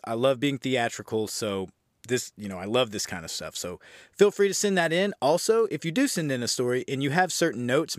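Man, podcast host, medium pitch, informal chat to the audience, very dry recording. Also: dry recording. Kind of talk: casual talk to listeners.

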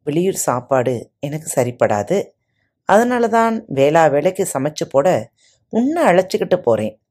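A woman's voice: low-pitched, very animated, measured pace, darkish tone, full character voice. A woman voicing a bossy wealthy male master who scolds his servant, in a storybook reading. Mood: commanding.

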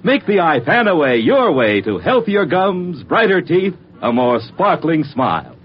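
A man's voice: a little high, big pitch swings, a little slow. An American man delivering a polished, emphatic scripted commercial as an old-time radio announcer. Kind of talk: scripted 1940s-50s radio advertising pitch. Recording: muffled, faintly noisy vintage radio.

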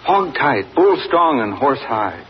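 An actor playing an earnest, polite young country son who also narrates in a 1940s radio drama, on a dull old recording. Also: male voice, medium pitch, big pitch swings, slow pace, a little hiss or hum. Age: young adult.

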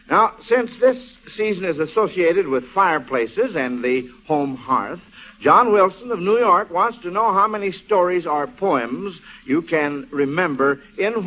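American man hosting an old 1940s radio quiz, high pitched voice, folksy and chatty, vintage broadcast sound. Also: fairly bright tone, rough recording. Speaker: quiz show host.

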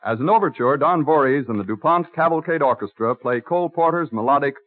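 A man's voice: a little high, expressive, soft background music. Tinny 1930s American radio announcer, male. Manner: formal, measured. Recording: tinny, band-limited 1930s radio broadcast.

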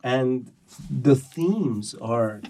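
A middle-aged man from Iran, Persian by mother tongue, talking as a poet in an interview. His voice is mellow and low in brightness, and he talks in a thoughtful, hesitant way full of fillers and restarts. He sits a little away from the microphone, so the sound is slightly distant. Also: animated, extremely slow. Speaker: poet and translator. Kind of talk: conversational interview.

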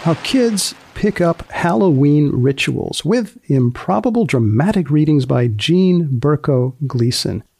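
A man's voice: a little high, lively intonation, unhurried, creaky, hardly any pauses, darkish tone, slightly rough. A man hosting a podcast in a conversational interview style, with a playfully probing manner. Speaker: podcast host and magazine editor. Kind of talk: conversational interview with scripted intro.

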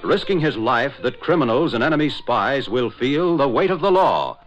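Dramatic, animated male 1940s radio announcer. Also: mid-range voice, normal speed, faint music behind. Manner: dramatic, declamatory. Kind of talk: 1940s radio scripted narration. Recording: dull old 1940s radio recording.

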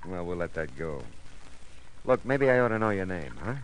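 An actor playing a weary, wisecracking hard-boiled private detective with a wry, drawling manner in a vintage radio noir drama. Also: male voice, low-pitched, big pitch swings, moderate pace. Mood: groggy, wry. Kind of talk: 1950s radio noir acting, narration and dialogue. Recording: noisy old radio recording.

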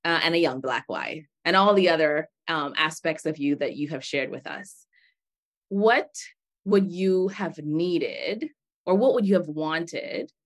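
A woman from the Bahamas hosting an interview, enthusiastic and quick to exclaim as she asks her guest questions. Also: sits low. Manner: enthusiastic, exclaims and laughs freely. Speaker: podcast host. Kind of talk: conversational interview.

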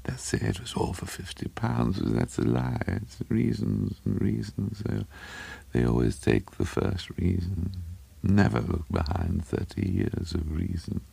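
A man voicing a small, conceited, furtive madman in a story, with a low breathless mutter, a bubbling impediment and a halting, stammering delivery. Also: very animated, vocal fry, warm tone, husky, heavily acted. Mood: furtive, agitated.

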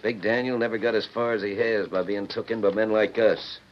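A shrewd Western outlaw boss acted by a man with a mid-range voice and bossy rustic delivery. He is gruff, in radio western style. The recording is dull with faint noise. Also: lowish voice, fairly even intonation, brisk, close to an everyday voice. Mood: matter-of-fact. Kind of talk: old-time radio western acting.

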